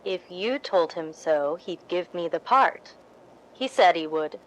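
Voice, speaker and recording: A woman playing an ambitious, scheming, falsely sweet young stage actress in a radio drama, deliberate and insinuating. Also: lowish voice, expressive, low-quality recording. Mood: coolly menacing. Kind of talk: recreated 1950s radio drama acting.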